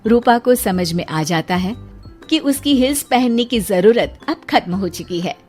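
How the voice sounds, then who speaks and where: A woman storyteller reading scripted narration for an animated tale. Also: medium pitch, measured pace, soft background music.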